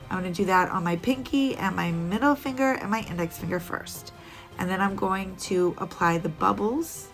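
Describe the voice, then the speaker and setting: A woman's voice: medium pitch, creaky. A woman narrating a casual nail art tutorial, chatty and rambling with little laughs, a bit sniffly.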